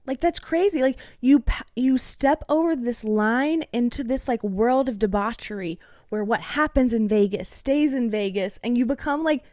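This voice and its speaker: A fairly high-voiced young woman from East Tennessee talks as a podcast guest, swearing freely through heated rants. The sound is close and dry, with no room around it. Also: moderate pace, a little mellow, no echo. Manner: heated, profanity-laced rants. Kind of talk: casual podcast conversation.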